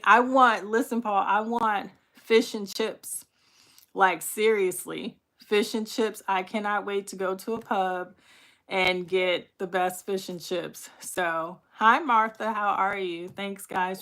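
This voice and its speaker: A woman hosts a casual livestream, her voice raspy as she gets over a flu. She talks slowly and unhurriedly, with laughs and asides. She greets her viewers by name as she chats. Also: mid-range voice, steady tone, sharp tone. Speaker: livestream host.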